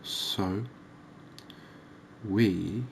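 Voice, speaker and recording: A man giving relaxed, unhurried, musing ASMR-style gameplay commentary, chatting to his viewers. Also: sits low, creaky, a little hiss or hum. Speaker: host of a cozy fishing game channel.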